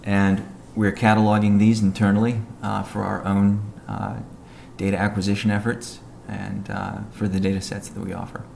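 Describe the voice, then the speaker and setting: A man with a low, creaky voice delivers a technical business update on a conference call. His delivery is measured and halting, with little melody. The sound is roomy and echoey. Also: flat delivery, darkish tone. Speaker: company executive giving update. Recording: echoey room, faint background noise.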